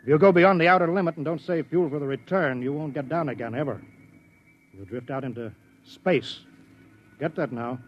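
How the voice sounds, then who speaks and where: Actor as earnest test director, muffled old radio. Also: male voice, on the high side, normal speed, a little hiss or hum, natural voice. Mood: firm, cautioning. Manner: earnest, instructive. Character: serious, cautious flight test director, worried for his pilot. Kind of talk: 1950s radio drama acting.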